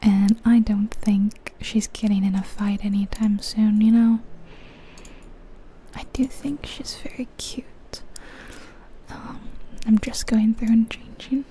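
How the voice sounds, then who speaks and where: A woman whispering softly in an ASMR style, musing aloud over a game in an unhurried way, close to the microphone. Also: on the high side, flat delivery, slight background noise. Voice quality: soft, breathy whisper. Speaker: ASMR video creator.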